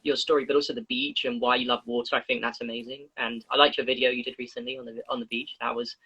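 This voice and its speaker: A man hosting a live social media interview over a video call, rambling and excitable. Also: mid-range voice, fast talker, breathy edge, lo-fi sound. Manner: excitable, rambling, laughs loudly. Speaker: host.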